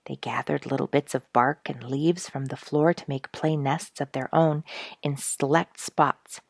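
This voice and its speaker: A woman reading a story aloud as host, in an expressive scripted storybook style with emphatic, dramatic stresses. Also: rumbling low, a little slow, creaky, dry recording, studio quality. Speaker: story reader and show host.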